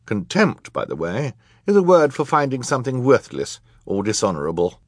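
Storybook woman judge, played by a man: pitched up, firm and formal, commanding the courtroom with animated swings. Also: normal speed, no echo, clean studio sound. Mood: stern. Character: stern, authoritative woman judge presiding over a courtroom. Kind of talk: audiobook character acting.

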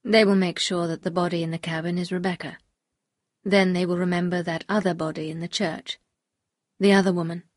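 A woman voicing a bitter, anguished upper-class husband in an audiobook, speaking gravely and confessionally. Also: lowish voice, flat delivery, fairly quick, dry recording, clean studio sound, voice pushed down, lightly acted. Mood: despairing, urgent. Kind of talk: audiobook character dialogue.

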